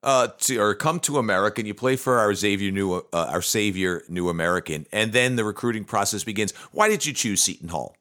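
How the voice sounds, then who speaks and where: A middle-aged man hosts an interview in a low voice, asking questions and praising his guest. He sounds easygoing and polite as he moves the talk along. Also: lively intonation, a little fast, hardly any pauses, sharp tone. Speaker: interview host. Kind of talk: friendly interview.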